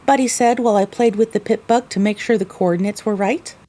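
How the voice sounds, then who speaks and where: A woman's voice: medium pitch, a little fast, mellow. A woman narrating a scripted first-person story.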